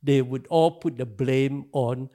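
An older man from Singapore with a Singaporean English accent, giving slow, halting answers in an interview, full of filler pauses. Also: mid-range voice, steady tone, dark tone. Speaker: interview guest, activist.

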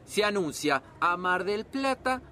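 Actor as high-voiced, exasperated Argentine family dad. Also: male voice, expressive, unhurried, heavily acted. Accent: Argentine Rioplatense. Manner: exasperated, sweary, bossy. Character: harried, short-tempered Argentine family father. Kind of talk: broad family comedy sketch acting. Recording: loud street and vehicle noise behind.

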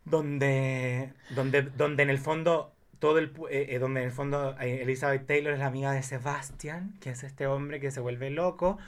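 Guy chatting about movies, animated storyteller, lots of emphatic asides, medium pitched voice. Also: normal speed, hardly any pauses. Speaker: guest film enthusiast. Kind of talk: casual film chat.

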